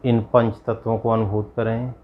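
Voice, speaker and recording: A man guiding a yoga nidra meditation as a yogi, giving measured instructions in short, spaced phrases. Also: fairly low pitch, flat delivery, phone-quality sound. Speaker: yogi leading guided meditation.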